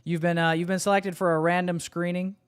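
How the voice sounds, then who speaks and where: A man's voice: high-pitched, level tone, fairly quick, clean tone. A man hosting a livestream, chatting casually with his viewers in a slangy, joking way and swearing freely. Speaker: livestream host.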